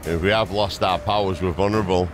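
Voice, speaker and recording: A man's voice: low voice, fairly even intonation, fast talker, noisy background. A man giving casual, reactive commentary as he plays a video game. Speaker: gaming video host. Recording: game music behind the voice.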